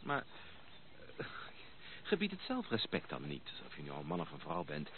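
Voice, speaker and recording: Casting: polite young gentleman, low register, mildly reproving, vintage radio drama with narrow, noisy sound. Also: huge pitch swings, heavily acted. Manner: polite, measured, questioning. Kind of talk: Dutch radio play acting. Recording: muffled narrow-band old radio recording, noisy.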